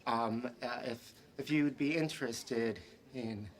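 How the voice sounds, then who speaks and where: A man's voice: mid-range voice, slow pace, natural voice. An actor playing a nervous, shy young man who stammers and hesitates while trying to speak to a woman, in a sitcom clip. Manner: hesitant, stammering, filler-heavy.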